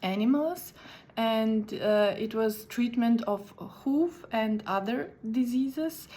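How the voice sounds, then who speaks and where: A woman's voice: fairly high pitch, dark tone. Slovene-accented woman, hesitant and careful. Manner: careful, halting, many fillers. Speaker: interpreter. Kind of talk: prerecorded video message.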